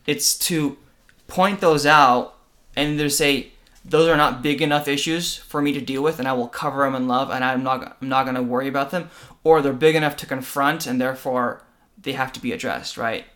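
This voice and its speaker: A man talking through church tradition in a thoughtful, explanatory way. He keeps checking in with his co-host with a quick "right?" His voice sits at an ordinary middle pitch. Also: steady tone, a bit bright. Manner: earnest, explanatory, asks rhetorical "right?". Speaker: podcast co-host. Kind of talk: conversational discussion on faith and church.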